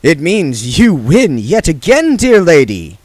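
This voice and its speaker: A man voicing a falsely friendly male card cheat with a flattering manner. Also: a little high, slow, dry recording, voice pushed up, heavily acted. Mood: oily. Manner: oily, flattering.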